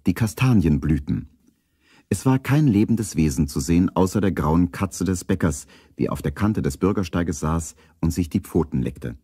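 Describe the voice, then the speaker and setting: A man narrating a children's radio play as a calm storyteller. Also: sits low, moderate pace, breathy edge, dry recording, studio quality. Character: calm adult male storyteller.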